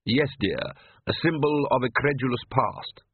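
A man's voice: mid-range voice, lively intonation, moderate pace, breathy edge, no room sound. A male narrator voicing a conciliatory aristocratic vampire father who talks to his family in a parental, soothing way. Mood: placating, dry. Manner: parental, soothing, with repressed irritation. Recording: tinny phone-like band.

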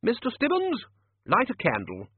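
A man voicing a blunt, blustery old wizard arch-chancellor in an audiobook, brusque, loud and emphatic. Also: extremely high pitch, wildly swooping pitch, moderate pace, husky, dry recording, narrow, tinny audio, pitched above the performer's own voice, full character voice. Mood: indignant, accusing.

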